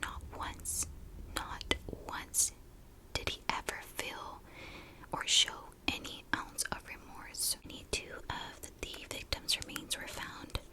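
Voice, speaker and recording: Female ASMR host, fully whispered, unhurried delivery, conversational and confiding, as if chatting to followers. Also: sharp tone, rough recording. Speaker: ASMR host telling true crime while doing makeup.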